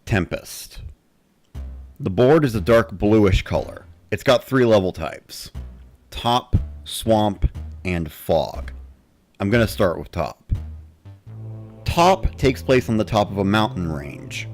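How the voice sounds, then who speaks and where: A man's voice: fairly low pitch, animated, takes it slowly, pauses often, soft background music. A man reading a first-person story as a dramatic narrator, in the style of a gamer recounting play.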